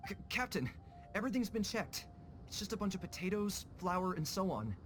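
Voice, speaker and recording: A jittery deferential junior officer, high voice, game scene, little music. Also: male voice, very animated, fairly quick. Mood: nervous. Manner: hesitant, deferential. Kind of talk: scripted game dialogue.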